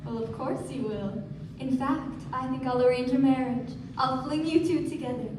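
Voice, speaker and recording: Dreamy, theatrical young society wife acted by a woman, high-pitched; radio drama on a noisy, echoing stage. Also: normal speed, recorded from a distance, close to an everyday voice. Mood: playful. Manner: gushing, theatrical. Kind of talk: live radio play acting. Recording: echoey stage recording from afar, noisy.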